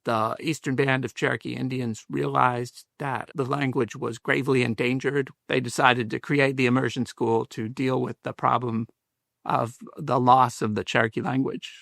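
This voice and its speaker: A man's voice: mid-range voice, little pitch movement, normal speed, creaky, a bit bright, no echo, clean studio sound. A man interviewed as a school curriculum developer, explaining in a thoughtful, reflective way.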